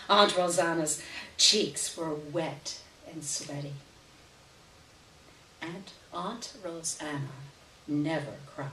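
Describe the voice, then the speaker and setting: A woman's voice: low-pitched, sharp tone. A woman giving a live dramatic reading of literary fiction as the story's narrator. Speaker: story reader. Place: live reading event before an audience. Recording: roomy, distant, hissy live recording.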